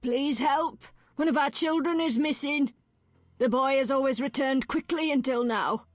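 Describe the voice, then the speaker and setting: A woman's voice: high voice, unhurried, no room sound, soft background music. An actress voicing an anxious village woman who urgently pleads for help finding a missing child in a video game. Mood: pleading.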